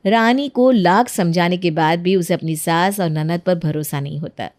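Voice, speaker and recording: A woman's voice: on the low side, normal speed, a little mellow, natural voice. A woman giving scripted narration for a family moral story.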